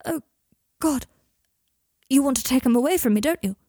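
A woman plays a proud, fragile father in a fantasy audiobook. He is wry and refined, affectionate yet defensive, with a husky, creaky voice. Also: fairly high pitch, moderate pace, a little mellow, no room sound, clean studio sound. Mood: furious, terrified. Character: proud, fragile wizard father, drawling, tender with his son.

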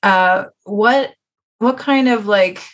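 A woman's voice: mid-range voice, takes it slowly. A woman hosting a podcast interview in a casual, informal, conversational way. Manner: casual, informal phrasing with "man" and "you know".

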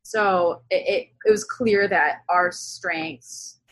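A young adult woman being interviewed, speaking casually and analytically, often halting and circling back to rephrase. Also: on the low side, a bit bright, no echo, low-quality recording. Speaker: interview guest, zine co-founder.

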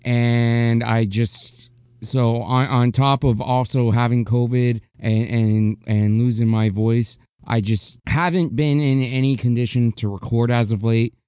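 A young man hosts his solo podcast in a loose, candid ramble, often circling back to restart his sentences. His voice is smooth and resonant, and it sits dry and close to the mic with no room around it. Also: medium pitch, steady tone, a little slow, darkish tone, dry recording. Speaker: podcast host. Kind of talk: casual solo podcast monologue.

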